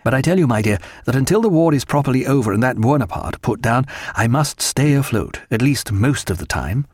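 A man voicing a learned, dry-humoured ship's surgeon and fond husband, with formal, measured period phrasing. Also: mid-range voice, animated, a little mellow, slightly rough, dry recording. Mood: reassuring, wry.

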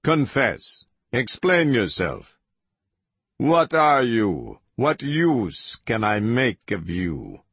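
A man's voice: mid-range voice, huge pitch swings, drawn-out words, fairly bright tone, husky, no room sound, heavily acted. A man voicing a cold, sadistic interrogator in an audiobook, smugly patient, taunting and commanding. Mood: pressing, commanding. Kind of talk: audiobook character voice.

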